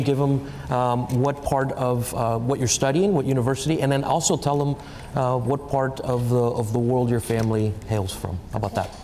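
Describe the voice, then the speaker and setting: A man moderating a live panel on stage, talking in a relaxed, halting way with fillers and restarts. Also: medium pitch. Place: event stage in a large hall. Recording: reverberant hall with faint noise.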